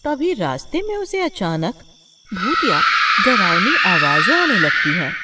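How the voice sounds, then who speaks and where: Slow, swooping female horror storyteller. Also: mid-range voice, sing-song, heavy background noise. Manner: dramatic storytelling. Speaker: narrator. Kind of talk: scripted horror story narration.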